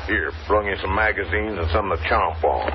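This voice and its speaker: Casting: gushing, folksy American tourist man, nosy and chummy, low-pitched, slightly noisy old radio drama. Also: fairly quick, muffled recording. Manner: folksy, gushing, chatty, repeats himself. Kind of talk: 1950s radio drama acting.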